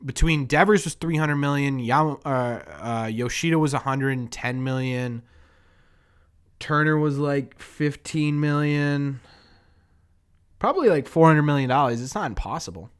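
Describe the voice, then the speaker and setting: A hoarse young man with a New England accent, hosting a casual live-stream sports chat, rambling and thinking aloud as he answers viewers. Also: a little high, measured pace. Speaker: sports podcast host.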